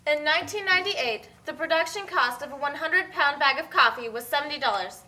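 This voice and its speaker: High, bright woman as puppet-show explainer. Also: animated, a little fast, low-quality recording. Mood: matter-of-fact. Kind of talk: scripted educational narration.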